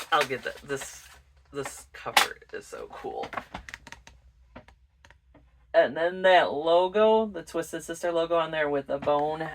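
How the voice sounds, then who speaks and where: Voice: medium pitch, far from the mic, noisy background. A vlogger chatting casually and informally in a rambling way as host of a music collection show. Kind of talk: casual rambling vlog chat.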